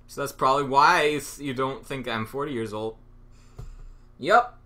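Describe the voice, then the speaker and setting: A man's voice: mid-range voice, unhurried, bright, forceful tone. A young man hosting his own channel, rambling through an improvised, absurd and sarcastic monologue with lots of stammering. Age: young adult. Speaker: channel host.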